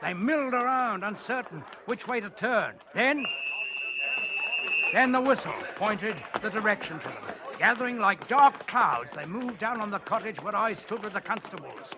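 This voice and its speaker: An actor playing a brooding, suspicious London police sergeant, narrating a radio suspense drama in a deliberate, dramatic way. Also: male voice, sits high, animated, very noisy. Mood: tense. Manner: deliberate, literary, dramatic delivery.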